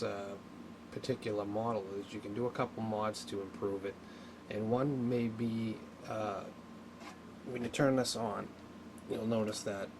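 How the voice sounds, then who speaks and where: A man giving a casual, unscripted hands-on tutorial, talking haltingly as he thinks aloud while working. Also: on the low side, background noise. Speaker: hobbyist presenter of a radio repair video.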